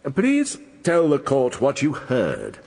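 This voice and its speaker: An actor playing a formal, probing, faintly condescending British barrister questioning a witness in a radio courtroom drama. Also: male voice, medium pitch, huge pitch swings, takes it slowly, vocal fry, darkish tone, exaggerated voice. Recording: reverberant courtroom-like hall.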